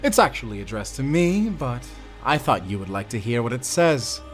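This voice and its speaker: An actor playing a cruel, gloating prison warden who taunts theatrically in an audio drama. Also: male voice, mid-range voice, sing-song, normal speed, soft background music, close to an everyday voice. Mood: smug. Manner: mocking, theatrical, drawling.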